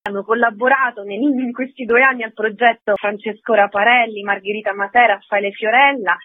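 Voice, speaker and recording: A woman with a husky voice, a theatre artist talking conversationally in a radio interview over the phone. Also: fairly high pitch, fairly even intonation, brisk. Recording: tinny phone line.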